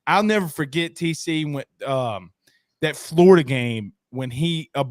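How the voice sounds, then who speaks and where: A man hosting a sports talk show, chatting and asking questions in an informal, animated fan's way. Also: on the high side, a little slow, no room sound, clean studio sound. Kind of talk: casual sports banter and questions.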